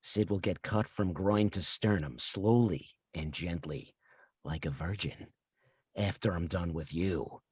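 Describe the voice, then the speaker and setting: Man playing a husky, low-voiced slasher killer. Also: darkish tone, no room sound. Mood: threatening. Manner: sinister, taunting, deliberate. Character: menacing masked slasher killer taunting a victim by phone. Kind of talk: slasher film table read.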